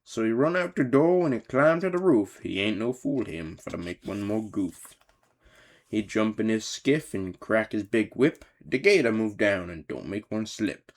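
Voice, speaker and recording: A man's voice: on the low side, expressive, moderate pace. Folksy old Cajun storyteller played by a man. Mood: amused storytelling. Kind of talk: storybook verse narration.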